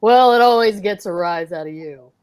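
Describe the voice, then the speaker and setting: A woman's voice: medium pitch, moderate pace, darkish tone, smooth, pitched below the performer's own voice, natural voice. A woman reading the part of a teasing, wisecracking husband in an amateur old-time radio play. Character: husband George, bantering middle-aged man.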